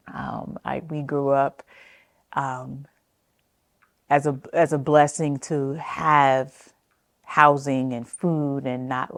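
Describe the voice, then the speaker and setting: An American woman from New Jersey telling personal family history for a documentary, speaking in a reflective, halting way with frequent pauses. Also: extremely low pitch, no room sound, clean studio sound. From New Jersey, United States. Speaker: interviewee sharing family history.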